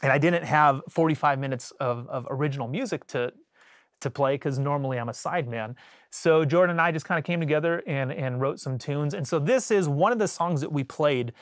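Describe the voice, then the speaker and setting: A man hosting a casual livestream as a bassist, rambling with lots of fillers and restarts, his voice a little off after a cold. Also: fairly quick, no echo, clean studio sound. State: recovering from a cold.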